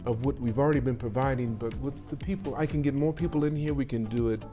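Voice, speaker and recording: Middle-aged man as a rapid-fire podcast host, rambling and chatty, faint music behind. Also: mid-range voice. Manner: chatty and rambling, with self-corrections and quick follow-up questions. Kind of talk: casual interview chat.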